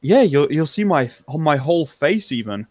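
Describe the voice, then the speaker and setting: A man with a blended English and New Zealand accent chats on a voice call, poking fun at himself. His voice rises and falls a lot and sits fairly high for a man. The sound is dry and close. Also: very animated, normal speed, a little mellow, no room sound. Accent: mixed English and New Zealand. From born in England, raised in New Zealand. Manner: self-deprecating, laughs often. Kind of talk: casual group chat.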